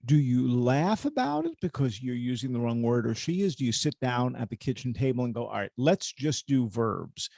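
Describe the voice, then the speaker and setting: Male interview host, gravelly, animated, dry close sound. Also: medium pitch, normal speed, few pauses, dry recording. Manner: lively, questioning, breaks off and restarts. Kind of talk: conversational interview.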